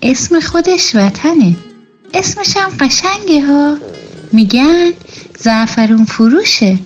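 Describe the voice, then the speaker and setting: A woman's voice: sits high, lively intonation, lo-fi sound, faint music behind. A woman playing a teasing, grumbling young neighbourhood woman with slangy folk speech in a nostalgic radio drama. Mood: fond gossip.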